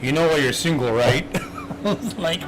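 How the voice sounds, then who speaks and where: Rambling man with a higher voice. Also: moderate pace, poor audio. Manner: rambling, many restarts and fillers. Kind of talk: casual chat. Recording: clear background noise.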